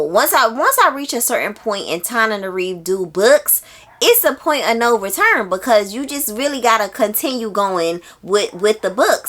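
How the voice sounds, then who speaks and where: Woman, medium pitch, casual video host, emphatic delivery, repeats phrases to drive points home. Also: fairly bright tone. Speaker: book review video host. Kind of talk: casual book chat to viewers.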